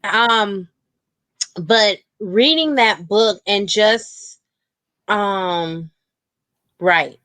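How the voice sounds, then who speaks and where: A woman guest talks candidly in a medium-pitched voice. Her delivery is colloquial and expressive, leaning hard on key words. The recording is dry, with no audible room. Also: drawn-out words, long pauses, dry recording. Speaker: guest author. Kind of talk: candid casual conversation.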